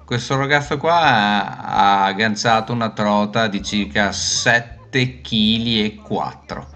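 Slow, bright-toned man explaining. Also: lowish voice. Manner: calm step-by-step explanation. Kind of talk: explaining a fishing technique.

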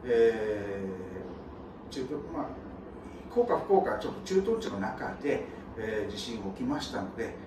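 A man's voice: fairly low pitch, big pitch swings, a little fast, background noise. Hesitant man, distant roomy sound. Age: middle-aged. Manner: polite, hesitant, many fillers. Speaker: soldier interviewee. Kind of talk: formal recollection. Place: bare indoor room. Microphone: camera across the room.

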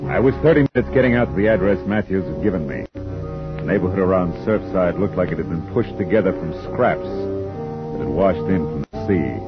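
A man's voice: low-pitched, expressive, normal speed. An actor playing a dry, wisecracking male private detective, narrating and talking in a 1950s radio drama. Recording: muffled 1950 radio broadcast with music.

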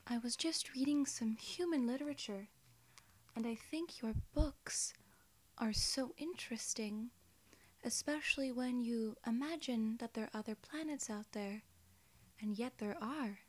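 A young woman playing a polite, curious alien gatekeeper in an ASMR roleplay, soft-spoken and partly whispering, with formal, quaint wording. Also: a little high. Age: young adult.

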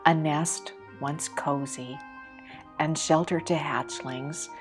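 A woman artist calmly reading a scripted documentary narration about her drawing, in a measured, reflective way. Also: sits low. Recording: voice-over with loud background music.

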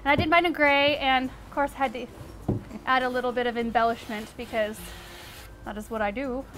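A woman's voice: high voice, fairly even intonation, fairly quick, music in the background, poor audio. A young woman hosting a gardening show, chatting casually and explaining things with light laughs and frequent ums. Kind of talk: casual how-to narration. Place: outdoor backyard garden, windy.

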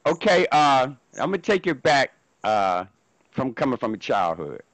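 A man's voice: medium pitch, very animated, moderate pace. A middle-aged man talks over a video call from a room at home, looping back over his own words. The sound is dry and close, with no room echo. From Philadelphia, USA. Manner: rambling, repeats himself. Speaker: guest musician. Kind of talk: casual interview. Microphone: video call with earphone headset.

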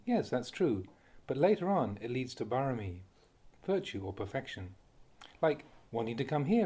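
A man reading a Buddhist Dhamma talk aloud as a monk, deliberately, in short phrases with pauses. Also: mid-range voice, mellow, husky. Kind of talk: read-aloud Dhamma talk, measured teaching.

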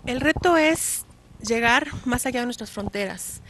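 A woman in her forties, a guest on a studio TV show, calmly explaining a program into a handheld mic. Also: fairly high pitch, unhurried, slight background noise. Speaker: guest, contest organizer. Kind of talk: studio interview. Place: small TV studio set, indoors.

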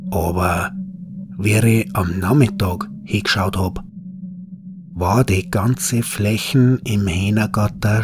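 Bavarian guy telling a creepy story in dialect, low voice, noisy ambience behind him. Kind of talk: scripted first-person horror story narration in dialect. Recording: clearly noisy ambient background.

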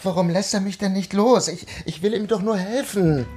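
An actor playing an anxious, helpless son who pleads hesitantly in a radio play. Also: male voice, high-pitched, moderate pace, a little mellow, faint music behind, close to an everyday voice. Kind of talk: audio drama acting.

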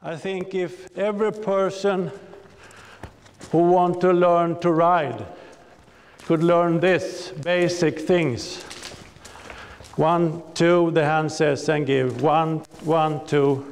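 A man's voice: on the high side, fairly even intonation, takes it slowly, a little hiss or hum. A man teaching riding and counting out the rhythm in an indoor arena. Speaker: riding instructor. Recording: echoey arena.

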